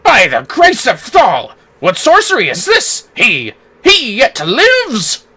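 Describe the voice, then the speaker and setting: A man's voice: very high-pitched, wildly swooping pitch, slow, bright, forceful tone, slightly rough, heavily acted. A man voicing a bombastic, theatrical older father from a game, declaiming pompously. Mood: astonished. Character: bombastic, hip-gyrating muscular older father, grandly theatrical.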